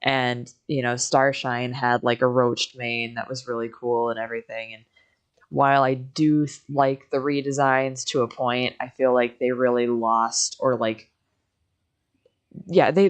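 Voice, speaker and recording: A woman's voice: extremely low pitch, fairly even intonation, moderate pace. A woman in a casual chat commenting on a video game as a streamer, speaking in an opinionated, candid way.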